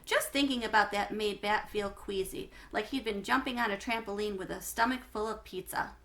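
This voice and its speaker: A woman reading a children's book aloud like a teacher to schoolchildren, with expressive phrasing and emphasis on key words. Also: medium pitch, moderate pace. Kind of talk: read-aloud storytelling for schoolchildren.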